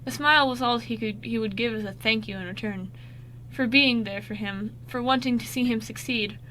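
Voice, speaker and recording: A woman's voice: fairly high pitch, normal speed, fairly bright tone, poor audio. A woman reading scripted narration for an amateur radio drama, with small stumbles and self-corrections. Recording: faint background noise.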